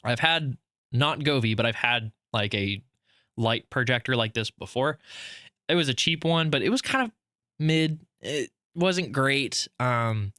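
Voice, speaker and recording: A man's voice: medium pitch, lively intonation, a little slow, sharp tone, no room sound, studio quality. A man co-hosting a casual podcast, teasing his friend in a playful way and chatting loosely with plenty of fillers. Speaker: podcast co-host.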